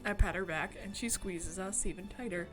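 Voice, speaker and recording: A giggly, excitable woman streamer reading a visual novel aloud and gushing over it. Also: mid-range voice, moderate pace, over music. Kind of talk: reading game story aloud with gushing reactions.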